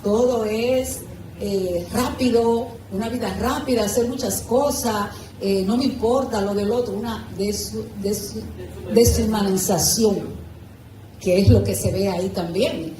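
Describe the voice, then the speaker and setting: A woman's voice: medium pitch, unhurried, reverberant, far from the mic. Woman, hesitant discussion participant, off-mic, noisy room. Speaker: book club participant. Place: echoey room at a live group gathering.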